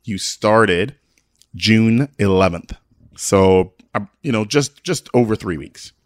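Man, radio host, lowish pitch, casual interviewing, dry room. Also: animated, slow pace, no room sound.

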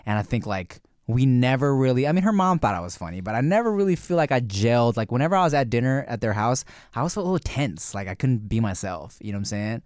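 A mid-pitched man in casual podcast conversation, easygoing and rambling, full of fillers and restarts. The sound is dry and close on the mic. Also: big pitch swings, fast, hardly any pauses, darkish tone, no room sound. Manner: laid-back, many fillers and restarts. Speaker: podcast co-host.

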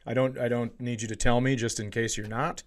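A man's voice: medium pitch, level tone, brisk. A man with an American accent chatting casually and comedically as a podcast co-host, bantering and swearing freely. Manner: profane, bantering.

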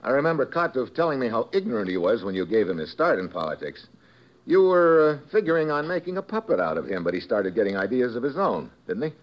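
Lead agent: man voicing a cocky, wisecracking spy, lively. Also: mid-range voice, quick pace, highs cut off, close to an everyday voice. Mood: cool. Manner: dry, wisecracking. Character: tough male American special agent, wisecracking. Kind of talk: 1950s radio drama acting and narration.

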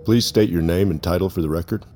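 A man playing a probing, unyielding police officer in an audio drama, questioning formally in clipped phrases with a gravelly, husky voice. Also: low-pitched, fast, dark tone, a little hiss or hum, lightly acted. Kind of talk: audio drama interrogation dialogue.

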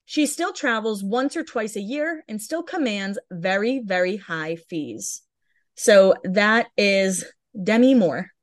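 A woman's voice: medium pitch, expressive, slow. A woman co-hosting a podcast, chatting and reading out celebrity blind items in a casual way. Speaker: podcast co-host. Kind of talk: casual celebrity gossip chat.

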